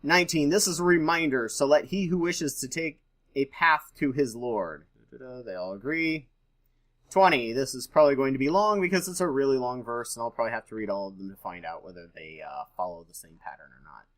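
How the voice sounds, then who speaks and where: Male reader-commentator, mid pitch, reading text aloud with informal, irreverent asides. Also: brisk, a bit bright. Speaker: host reading and commenting on scripture.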